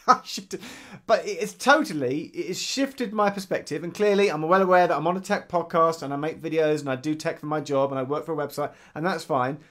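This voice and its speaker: A man in his thirties co-hosts a relaxed podcast. His voice sits a little high, and he tells anecdotes lively, voicing his own past thoughts. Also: a little fast. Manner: animated storyteller, acts out his own thoughts. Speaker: podcast co-host. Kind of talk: casual chat.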